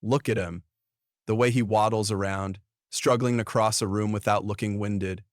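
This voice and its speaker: A man's voice: fairly low pitch, fairly even intonation, normal speed, no echo, studio quality. Blunt, mocking man commentator. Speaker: online commentary host. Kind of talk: opinionated gossip commentary.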